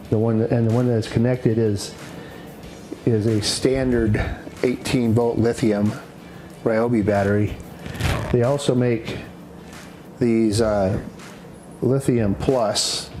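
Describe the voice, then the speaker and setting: Guy with a lowish voice doing an unscripted gear demo, matter of fact and kinda halting, bit of music. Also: dark tone. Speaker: presenter demonstrating radio gear. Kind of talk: unscripted product demonstration. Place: indoors in a house.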